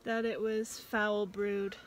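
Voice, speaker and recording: A woman's voice: medium pitch, level tone, slight background noise. A young woman in her twenties, chatting casually as she explains hive work in a backyard, recorded outdoors on a nearby camera with music underneath. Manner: unhurried, chatty, gasps with dismay at finds. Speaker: beekeeping vlogger. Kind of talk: casual how-to narration while working. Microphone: camera on a stand nearby, later handheld.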